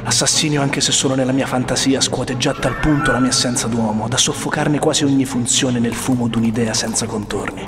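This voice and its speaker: An actor playing a troubled, ambitious warrior lord in a brooding Shakespearean radio soliloquy. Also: male voice, mid-range voice, fairly quick, hardly any pauses, crowd noise, close to an everyday voice. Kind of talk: theatrical Shakespearean radio drama.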